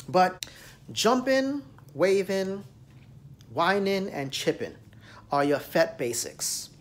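An American man in his thirties, ranting and lecturing straight to a phone camera in a small room. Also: on the high side. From United States. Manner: emphatic, lecturing, direct address. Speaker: dance vlogger. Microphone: phone camera at arm's length.

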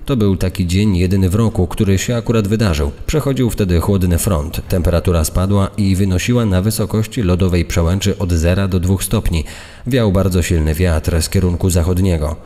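A man's voice: low-pitched, brisk, dark tone. A man reading out accounts in a scripted, narrated style. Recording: long hall-like reverb.

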